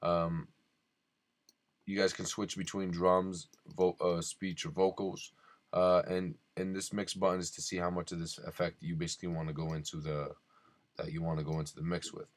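Deep-voiced man, flat and informal. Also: no room sound. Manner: halting, informal delivery. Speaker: tutorial host. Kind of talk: casual software tutorial for beginners.

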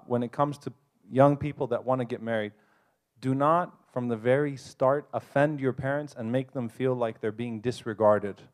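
Panel speaker at a convention, man in a middle-pitched voice, earnest and brisk when advising. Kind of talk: live advice talk to an audience. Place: convention hall.

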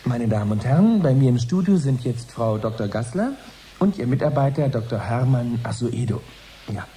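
An actor playing a composed broadcast host in a radio drama, interviewing guests with formal courtesy. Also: male voice, medium pitch, animated, moderate pace, dark tone, natural voice. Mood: composed, inquiring. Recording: faint background noise.

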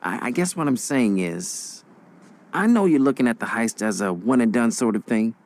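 An actor playing a young crew boss in a video game, cocky but earnest, with a casual, slangy way of talking. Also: male voice, medium pitch, lively intonation, normal speed, a little mellow, close to an everyday voice. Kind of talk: video game cutscene acting.

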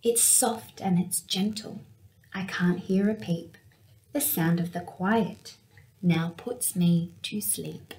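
A young woman reading a picture book aloud for children in an expressive storytelling manner. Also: on the low side, slow, a little hiss or hum, poor audio. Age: young adult. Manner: expressive, with acted-out sound words. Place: small indoor room with window blinds.

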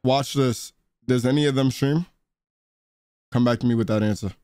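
A man's voice: mid-range voice, normal speed, a little mellow, slight background noise. A man giving casual reaction commentary on a game stream, in slangy, profane talk.

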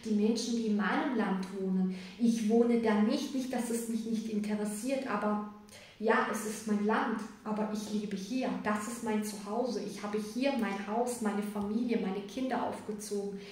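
A woman's voice: medium pitch, steady tone, normal speed, a little mellow. A woman, giving a rambling, chatty tarot reading with many fillers and tag questions. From Germany, of Sicilian parents. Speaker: tarot reader. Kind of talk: casual spoken tarot reading. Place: indoors, room with a card table. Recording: echoey room, voice from a distance.

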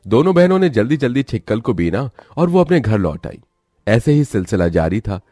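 A man's voice: medium pitch, sing-song, normal speed, warm tone, no echo, studio quality, faint music behind. A man narrating a scripted moral story as a storyteller.

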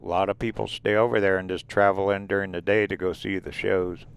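Low gravelly guy chatting along while he drives, laid back, pointing stuff out from inside the car. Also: brisk. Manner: relaxed, rambling, points out sights as he goes. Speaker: RV travel vlogger. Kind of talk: casual narrated drive and drone tour. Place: inside a vehicle driving desert roads.

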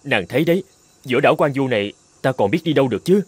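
Man as a quick, earnest captive island chieftain. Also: mid-range voice, lively intonation, slightly rough, dry recording. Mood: pleading, subdued. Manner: earnest, protesting, pleading. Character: brave adult male island chieftain held captive. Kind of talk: dubbed cartoon fairy-tale acting.

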